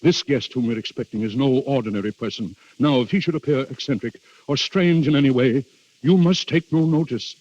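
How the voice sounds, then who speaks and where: An actor in a 1930s radio drama playing an anxious, dutiful duke, speaking gravely and haltingly with great deference. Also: male voice, medium pitch, a little mellow, lightly acted. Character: anxious, dutiful Italian duke hosting a dreadful guest. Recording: muffled, dull 1930s radio recording.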